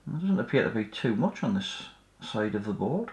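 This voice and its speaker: A man's voice: lowish voice, very animated, normal speed. A man giving casual hands-on repair commentary, tentatively thinking aloud as he works. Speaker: host of an electronics repair channel. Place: indoors at a repair workbench. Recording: roomy, echoey indoor sound.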